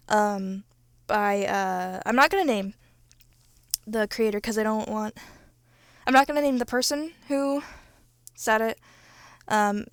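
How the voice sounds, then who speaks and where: A young adult woman who creates ASMR roleplays, chatting off script to listeners in an informal, halting, self-correcting way. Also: medium pitch, dry recording, studio quality. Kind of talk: casual off-script chat to listeners.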